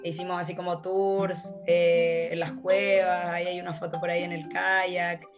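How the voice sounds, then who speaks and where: A woman's voice: on the low side, flat delivery, moderate pace, over music. A woman from Chile with a Chilean Spanish accent, chatting as an interview guest and telling her story with many fillers and laughs. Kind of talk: casual storytelling conversation.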